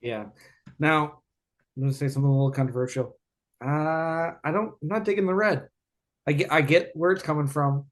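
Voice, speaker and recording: A man's voice: on the high side, brisk. Laid-back man hosting a podcast. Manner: relaxed, slangy and upbeat. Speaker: podcast host. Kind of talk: casual chat.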